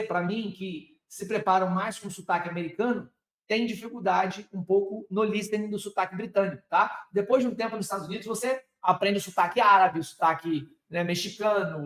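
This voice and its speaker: A Brazilian man with a Brazilian Portuguese accent and a fairly high voice gives an energetic live class on medical exams. He talks straight to his viewers and keeps asking them rhetorical questions. The sound is echoey, as if taken in a bare room. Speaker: medical exam prep teacher. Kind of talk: live online lecture.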